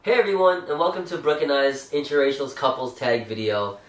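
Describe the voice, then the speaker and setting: A man's voice: medium pitch, brisk, faint music behind. A young man with a Canadian accent, chatting casually as a vlog co-host, rambling with fillers and restarts. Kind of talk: casual chat. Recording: echoey room, mic at a distance.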